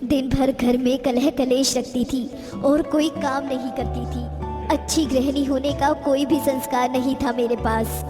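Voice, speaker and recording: A woman playing a stern, dutiful witch ghost who scolds lazy housewives in an animated moral story. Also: sits high. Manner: admonishing, righteous. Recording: long hall reverb, music behind.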